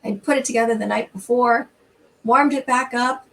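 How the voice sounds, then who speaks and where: A middle-aged woman hosting a church storytime, talking informally and reading aloud in an expressive, conversational way straight to her listeners, recorded slightly away from the mic. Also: fairly high pitch, moderate pace, creaky, far from the mic, poor audio.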